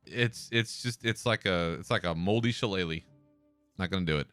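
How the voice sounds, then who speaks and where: A man's voice: deep voice, moderate pace, fairly bright tone, clear, resonant tone, no echo, soft background music. Laid-back man hosting a podcast. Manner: laid-back, swears, chuckles. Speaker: podcast host. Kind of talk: solo casual commentary.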